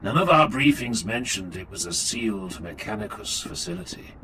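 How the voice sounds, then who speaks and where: With clear noise around him, a low-voiced, commanding warrior priest, acted by a male reader speaks gravely. His sci-fi war drama delivery is stern and measured, with wide swings in intonation. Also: big pitch swings, bright, forceful tone, exaggerated voice, low-quality recording. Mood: stern, probing. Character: stern, relentless armoured warrior chaplain, commanding and defiant. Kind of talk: dramatic audiobook acting. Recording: clear noise and ambience behind.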